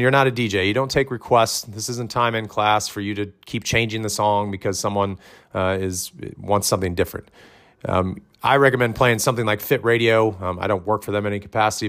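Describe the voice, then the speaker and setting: A man hosting a podcast, giving loud, direct coaching advice in casual talk, with many ums and restarts. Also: lowish voice. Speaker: fitness coach and podcast host.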